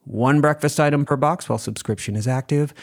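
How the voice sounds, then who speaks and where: A man's voice: a little high, a little fast. A man, the creator of a show, delivering a scripted ad read to listeners. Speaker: show creator reading a sponsor message.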